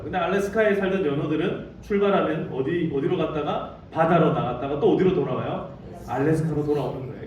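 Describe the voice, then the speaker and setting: A man's voice: on the high side, darkish tone, a little hiss or hum. A male pastor from Daegu preaching a Sunday sermon, telling a story and putting questions to the congregation. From Daegu, South Korea. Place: church sanctuary. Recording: echoey sanctuary, distant mic.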